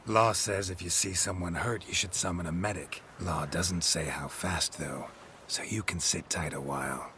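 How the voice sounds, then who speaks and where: An actor playing a gruff, weary, sardonic monster hunter in a video game, speaking in a dry, blunt way. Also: male voice, low voice, steady tone, moderate pace, sharp tone, a little hiss or hum. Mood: menacing mockery. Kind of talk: video game cutscene acting.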